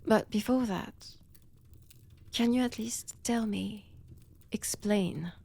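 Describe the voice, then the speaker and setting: A woman's voice: mid-range voice, gravelly, a little mellow, slightly rough, full character voice. A woman with a French accent playing a centuries-old vampire, wounded and obsessive, in an intimate roleplay audio, often dropping into whispers. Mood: hurt, disbelieving. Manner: slow, deliberate, theatrical with long pauses.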